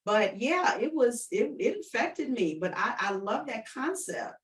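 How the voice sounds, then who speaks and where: High-voiced woman host, distant from the mic. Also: sing-song, measured pace, fairly bright tone. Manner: encouraging, affirming, often repeats phrases back. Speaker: host of an online radio show. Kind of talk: warm-up chat interview, addressing listeners directly.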